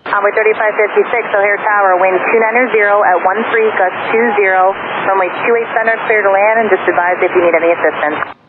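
Male tower controller, high voice, brisk and helpful; tinny radio with hiss. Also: steady tone. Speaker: tower air traffic controller. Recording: static-filled radio transmission.

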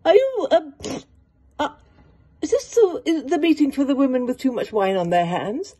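A flustered, tipsy stranger in a comic video-meeting sketch. She is hesitant, with a high, wildly varying pitch. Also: lively intonation, moderate pace, mellow. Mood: confused.

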